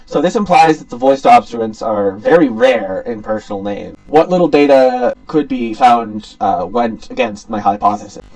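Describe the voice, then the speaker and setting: A man's voice: on the low side, vocal fry, a little mellow, recorded from a distance, rough recording. A young man giving an academic student presentation in an informal, somewhat hesitant explaining manner. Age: young adult.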